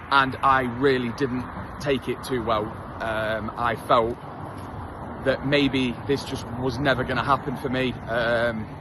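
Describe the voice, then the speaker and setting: A man's voice: medium pitch, background noise. Candid English man talking while jogging. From England. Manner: candid, self-deprecating, chatty with many fillers. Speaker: vlogger. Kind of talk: casual talk to camera while jogging. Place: outdoors on a street.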